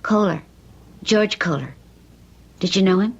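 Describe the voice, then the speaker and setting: A policeman's worried wife in a vintage radio play. She is caring and coaxing, a little hesitant, with a medium-pitched voice. The sound is dull, like an old broadcast. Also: unhurried, creaky, breathy edge. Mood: anxious. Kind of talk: old-time radio drama acting.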